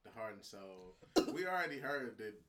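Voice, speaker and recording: A man's voice: fairly low pitch, sing-song, moderate pace, a crowd in the background. A young man on a casual podcast, teasing and boastful. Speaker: podcast co-host.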